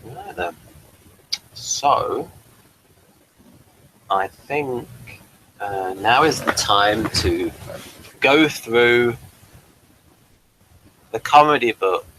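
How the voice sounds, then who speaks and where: A man hosting a casual review discussion, speaking in a halting, hesitant way. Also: mid-range voice, lively intonation, sharp tone, slight background noise, low-quality recording. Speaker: review show host.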